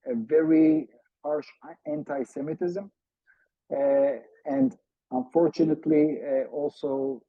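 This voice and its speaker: A man's voice: mid-range voice, vocal fry, no room sound. A man with a Turkish accent, a native Turkish speaker, giving an earnest seminar talk over a video call, hesitant and full of filler sounds. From Turkey. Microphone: video call microphone. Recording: dull, lo-fi video call audio.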